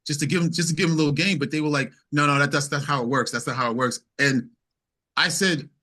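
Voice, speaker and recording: A man's voice: fairly high pitch, fairly even intonation, fast, bright, forceful tone. A man speaking casually as an interview guest and singer-songwriter, emphatic, repeating phrases and quoting conversations as he tells anecdotes.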